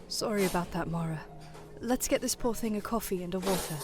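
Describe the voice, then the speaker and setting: A woman playing a calm, kindly younger businesswoman with a measured manner in an audio horror drama. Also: medium pitch, faint music behind, natural voice. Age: young adult.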